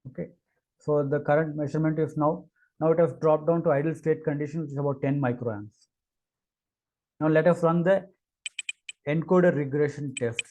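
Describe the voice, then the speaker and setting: Male engineer for demo narration: slightly high-set, mellow voice, unscripted and halting delivery. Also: fairly even intonation, dull audio. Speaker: engineer presenting a product demo.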